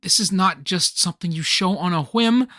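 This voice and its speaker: Man acting excitable, bright-voiced nerdy party host. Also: sits high, moderate pace, no echo, studio quality. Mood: earnest, melodramatic. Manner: theatrical, geeky slacker banter. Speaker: actor in a radio comedy play. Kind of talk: scripted radio play comedy dialogue.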